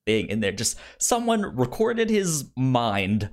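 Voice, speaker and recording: A man talks casually as a podcast co-host in a mid-pitched voice. His delivery is animated and swings widely in pitch. He often stutters, repeating syllables and words as he makes his case. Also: slow pace. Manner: animated, acts out mock quotes. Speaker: podcast co-host debating films. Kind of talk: casual film debate chat.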